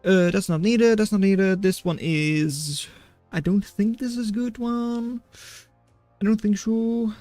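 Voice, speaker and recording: A man's voice: high-pitched, fairly even intonation, takes it slowly, a little mellow, dry recording, faint music behind. A man casting a strategy game replay live and chatting with viewers, matter-of-fact and dry in his remarks. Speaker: game caster, streamer.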